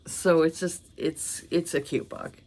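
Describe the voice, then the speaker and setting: A woman in her thirties, hoarse from a cold, chatting casually about books with many fillers. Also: sits low, fairly even intonation, unhurried, a little mellow. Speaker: book vlogger. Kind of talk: casual chat.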